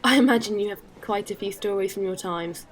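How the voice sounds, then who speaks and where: A woman playing a caring, earnest space traveler in an audio drama, speaking with gentle hesitancy. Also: medium pitch, fairly quick, natural voice. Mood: warm curiosity.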